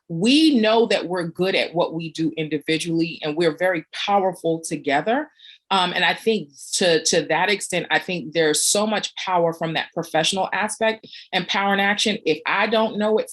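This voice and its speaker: Woman panelist, organizer type, lower voice, reflective and sincere. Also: normal speed. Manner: earnest, reflective, frequent "um" fillers. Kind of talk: panel discussion.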